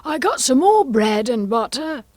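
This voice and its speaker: A woman's voice: sits high, sing-song, a little slow, a little mellow, no room sound, pitched above the performer's own voice, full character voice. A woman voicing a nervous, poor male hatter on trial in a storybook, with a trembling, hesitant, pleading voice.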